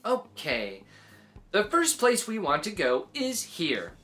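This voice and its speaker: Low-voiced woman, hugely animated storyteller. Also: slow pace, soft background music. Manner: expressive, acted-out storytelling. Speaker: children's story reader. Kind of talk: animated read-aloud narration for children.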